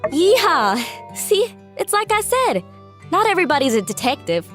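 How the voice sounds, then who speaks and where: A woman voicing a brash, excitable young country gal with a folksy Southern US accent in a cartoon. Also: extremely high pitch, moderate pace, faint music behind, heavily acted. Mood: cocky.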